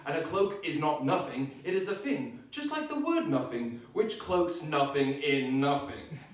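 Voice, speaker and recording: A man's voice, fairly high for a man, tears through an intense, rambling comic routine full of tongue-twisting wordplay. He switches back and forth to play both sides of a squabble. The sound bounces around a very reverberant venue, picked up from some distance by the mic. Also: very animated, unhurried, fairly bright tone. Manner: acts out both sides of an argument. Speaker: spoken-word performer. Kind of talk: live spoken-word comedy set. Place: live event venue on stage. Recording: very echoey room, mic at a distance.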